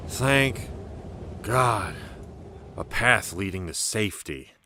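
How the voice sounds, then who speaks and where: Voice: expressive, slow pace, sharp tone, heavily acted. An actor playing a bitter, blaming young male in an amateur script read, grumbling with exasperation. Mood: grudging. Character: bitter, blaming, sharp-tongued young male named Norrin. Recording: noisy home microphone with rumbling hiss.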